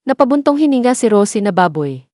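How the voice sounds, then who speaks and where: A woman's voice: on the high side, big pitch swings, a little fast, a little mellow, dry recording, studio quality. A woman with a Filipino accent narrating a scripted children's bedtime story. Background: music bed.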